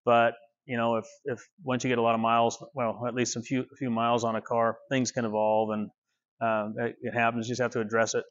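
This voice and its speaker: A man in his fifties giving a casual walking tour of his car restoration workshop, relaxed and rambling with many fillers, recorded on a small clip-on mic. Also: medium pitch, a little fast, dull audio.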